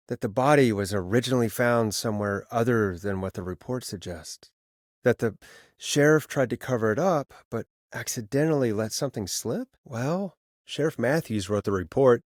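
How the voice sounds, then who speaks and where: A man's voice: mid-range voice, no room sound, lightly acted. Casting: male actor for uneasy radio host playing detective in a video game, conversational, wry, close dry recording. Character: male late-night radio DJ turned uneasy amateur sleuth. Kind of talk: naturalistic video game voice acting.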